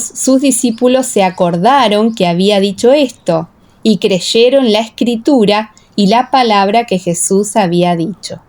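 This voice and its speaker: Woman, audiobook narrator, fairly high voice, solemn, careful phrasing, scripted scripture storytelling. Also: darkish tone. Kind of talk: scripted dramatized Bible narration.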